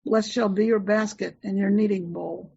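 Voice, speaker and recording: A participant reads scripture aloud with a gravelly, husky voice and a steady, measured delivery. The sound is distant and muffled, as over a video call. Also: medium pitch. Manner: measured reading. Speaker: class participant reading aloud.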